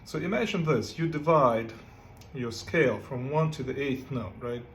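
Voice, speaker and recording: Man, guitar teacher, halting casual delivery, medium pitch. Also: fairly bright tone, a little hiss or hum. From Ukraine. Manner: halting, many fillers and "right?" checks. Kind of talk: casual music lesson with playing. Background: guitar playing.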